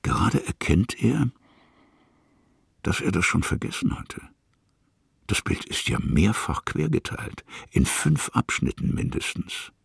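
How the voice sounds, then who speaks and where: A man with a gravelly, husky voice reading literary narration for a radio play. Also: low voice, no echo, studio quality.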